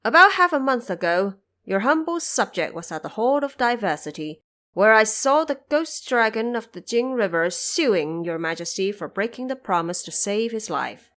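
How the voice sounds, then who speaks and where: A woman's voice: medium pitch, sing-song, normal speed, a bit bright. Audio drama role: male ghost judge, humble, formal, deferential court official, played by a woman. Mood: humble, apologetic.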